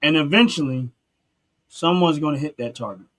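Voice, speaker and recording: A man's voice: fairly high pitch, normal speed, a bit bright. Earnest man hosting a talk show. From North Philadelphia, USA. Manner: earnest, repetitive restarts, street-talk phrasing. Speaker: podcast host. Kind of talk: casual talk show discussion.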